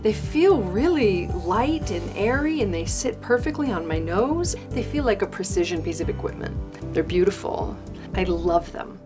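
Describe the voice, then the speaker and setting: A woman's voice: medium pitch, animated, normal speed. A woman giving an approving testimonial in a commercial. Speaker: testimonial speaker. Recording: music bed behind voice.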